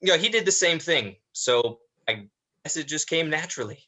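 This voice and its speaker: A man's voice: on the high side, lively intonation, moderate pace, pushed and bright. A man playing a polite, apologetic elder brother in a dramatized script reading, hesitant and restrained. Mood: modest.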